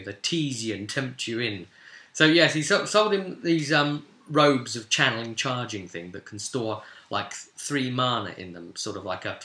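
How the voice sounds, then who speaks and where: Male game master, very bright and forceful voice, rambling casual session recap, roomy echo. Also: mid-range voice. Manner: rambling, chuckling storyteller who acts out dialogue. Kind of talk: casual vlog recap of a tabletop role-playing session. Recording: echoey room sound.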